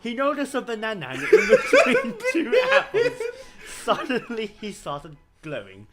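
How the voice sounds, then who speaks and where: A man's voice: very high voice, wildly swooping pitch, a little slow. A man narrating a comedic story reading in a theatrical, mock-dramatic manner. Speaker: fan fiction reader.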